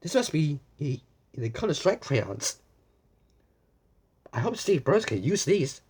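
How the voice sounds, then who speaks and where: A children's story time host narrates a picture book in a very animated, slow-paced way. Little asides and repeated phrases are addressed straight to young listeners. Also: medium pitch, breathy edge.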